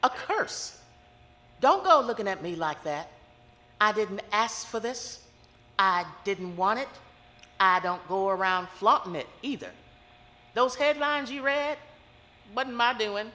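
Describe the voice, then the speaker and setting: A woman playing a world-weary, brooding psychic who hears the dead in an audio horror drama, speaking intensely and confidingly with dramatic pauses. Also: mid-range voice, animated, bright, forceful tone, long reverb tail. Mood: defensive.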